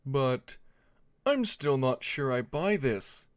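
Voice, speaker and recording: A man voicing a skeptical, apologetic young male dragon with a hesitant, measured manner in a storybook narration. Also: mid-range voice, very animated, smooth. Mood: hesitant doubt.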